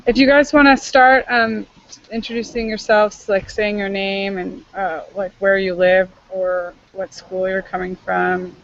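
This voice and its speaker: A woman's voice: mid-range voice, fairly even intonation, measured pace, gravelly. A young woman from Northern California, an intern leading an online meeting in casual chat, friendly and full of fillers. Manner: chatty, filler-heavy, friendly. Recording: muffled online call audio.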